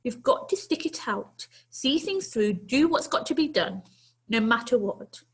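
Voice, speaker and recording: A woman reading a children's storybook, voicing a small old lady who is brisk, hospitable and gently scolding, with a chatty, questioning manner. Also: on the high side, very animated, breathy edge, heavily acted, rough recording. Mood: kindly, encouraging. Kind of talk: children's storybook read aloud.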